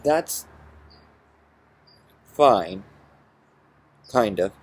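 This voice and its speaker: A man with a husky voice ranting through a personal story in an exasperated, sarcastic, profane way. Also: on the low side, lively intonation, very slow. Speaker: vlogger.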